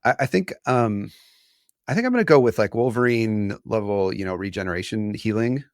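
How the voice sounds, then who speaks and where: A man's voice: fairly low pitch, expressive, brisk, no room sound, studio quality. A man co-hosting a podcast, talking casually in a rambling, hesitant way with lots of fillers. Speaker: podcast co-host. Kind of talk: casual conversation.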